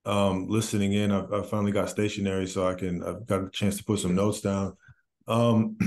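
A middle-aged man from Minneapolis with a Midwestern American accent, a PhD student speaking on a video call, halting with many fillers and restarts. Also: fairly low pitch, flat delivery, darkish tone. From Minneapolis, United States. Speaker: PhD student, panel attendee. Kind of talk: casual spoken comment on a video call. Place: small bare room. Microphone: video call webcam. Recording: echoey room.

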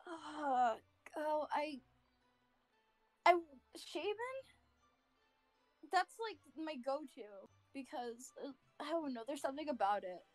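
A woman chats loosely as a stream guest, her pitch fairly high and jumping around a lot. She laughs through her sentences and rambles, with faint game music in the background. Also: takes it slowly, pauses often, dry recording. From Puerto Rico. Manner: lively, laughs often, rambling. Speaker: guest artist. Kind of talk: casual gaming stream chat.

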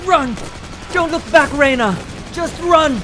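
A woman playing a frantic protector urgently shouting warnings in an audio drama. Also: high voice, slow pace, over music.

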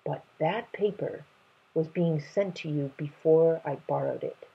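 A woman voicing a grim old mystical man in a storybook, speaking solemnly and deliberately. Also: deep voice, vocal fry, breathy edge, dull audio, full character voice. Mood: stern.

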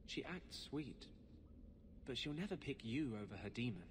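An actor playing a grim, judgmental rebel mage companion in a video game, earnest and brooding. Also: male voice, medium pitch, big pitch swings, unhurried. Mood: disapproving. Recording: long reverb, noisy game ambience.

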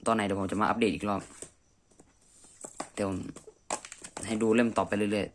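A man's voice: fairly low pitch, fairly even intonation, normal speed. A man chatting informally and unscripted as an amateur reviewer, thinking aloud in a room at home. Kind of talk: casual unscripted review chat. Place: messy room indoors. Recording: clearly noisy amateur home recording.

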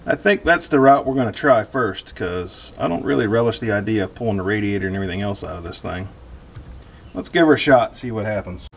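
A man's voice: on the low side, fast talker, creaky, slight background noise. A man in his forties hosting a car repair video, talking casually and plainly as he works on a truck in his garage. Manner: relaxed, plainspoken, explaining as he works. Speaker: car repair channel host. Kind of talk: casual DIY repair narration. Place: garage and driveway beside a truck.

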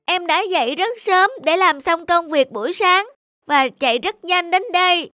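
High-voiced, earnest older cartoon boy. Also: unhurried, pushed and bright, no echo, soft background music, full character voice. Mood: earnest, concerned. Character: kind, responsible older boy, protective big brother. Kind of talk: cartoon voice acting.

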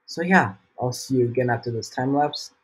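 A man's voice: mid-range voice, fairly quick, breathy edge. A teenage boy voicing a casual building tutorial while sick, rambling with fillers and jokey asides. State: sick, voice a bit off. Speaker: gaming tutorial host.

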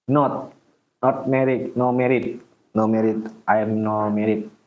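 Man, 30s, Indonesian accent, live-stream host: low-pitched, unhurried, halting simple English, phone mic at arm's length in a small home room. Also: fairly even intonation, a little mellow, low-quality recording. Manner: halting, simple English mixed with Indonesian, frequent short laughs. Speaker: livestream host caring for a baby monkey. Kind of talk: casual livestream chat reading viewer comments. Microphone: phone camera at arm's length.

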